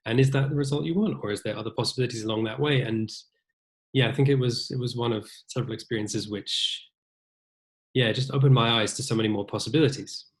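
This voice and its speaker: A man's voice: mid-range voice, steady tone, fast. A young man from New Zealand with a British accent, talking thoughtfully as a violinist guest in an interview, often restarting his sentences. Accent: British English. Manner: thoughtful, many restarts and fillers. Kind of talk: casual interview conversation.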